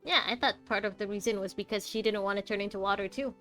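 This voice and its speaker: Female game streamer, mid-pitched, chatty and wry, reacting live with soft music behind her. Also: fast. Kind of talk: casual live playthrough commentary. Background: faint game music.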